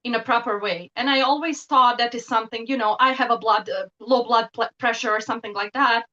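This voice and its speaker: Bright-voiced woman, guest on a video call. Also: fairly high pitch, fairly even intonation, brisk, highs cut off. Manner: thoughtful, hesitant with frequent ums, laughs lightly. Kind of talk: casual interview talk.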